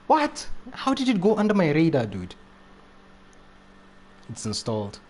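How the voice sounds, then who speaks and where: A man's voice: on the high side, huge pitch swings, measured pace, a little mellow. A man live streaming a video game, chatting casually in slangy, repetitive phrases. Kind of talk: casual live chat while playing.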